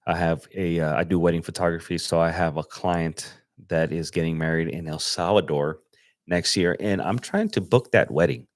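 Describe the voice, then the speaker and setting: A young man from Los Angeles chatting casually on a livestream as he edits photos, relaxed and slangy, thinking aloud with fillers. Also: low-pitched, no room sound, studio quality. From Los Angeles, USA. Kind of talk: casual livestream chat while editing. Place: home desk setup.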